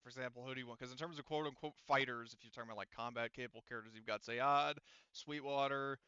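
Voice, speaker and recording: A man's voice: mid-range voice, steady tone, quick pace, pushed and bright, smooth, dry recording, dull audio. A man acting as game master in an online tabletop roleplaying session, thinking aloud step by step.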